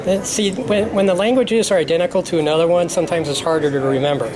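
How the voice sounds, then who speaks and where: A man chatting casually, telling long stories and slowing down to explain things carefully. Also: sits high, a crowd in the background. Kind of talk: casual chat.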